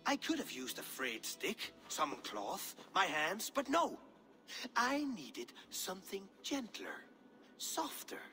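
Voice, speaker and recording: A vain eccentric painter, with a very high, wildly swooping voice. He is pompous, florid and theatrical, a game character who never thinks he is wrong, over a music bed. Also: wildly swooping pitch, slow, pushed and bright, exaggerated voice. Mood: self-important reverie. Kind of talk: dramatic video game acting.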